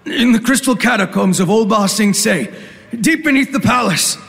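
A man's voice: sits high, normal speed, gravelly, a bit bright. A man playing a captured secret police agent confessing in a live script reading. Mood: confessing, tense. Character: captured secret police agent blurting out his masters' plot. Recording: echoey hall.

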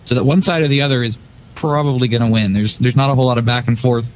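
A man's voice: medium pitch, fairly even intonation, quick pace, rough recording. A man speaking as a podcast guest, casually analysing game play in a conversational way.

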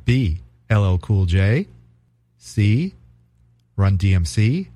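A man co-hosting a music podcast, chatting casually and reading quiz questions aloud with some halting restarts. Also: on the low side, dry recording. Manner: relaxed, halting, reads quiz cards aloud. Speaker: podcast co-host.

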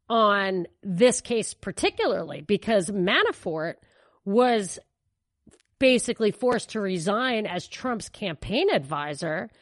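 A woman's voice: mid-range voice, no echo, studio quality. An American woman co-hosting a podcast, giving casual, opinionated and emphatic political commentary. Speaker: podcast co-host.

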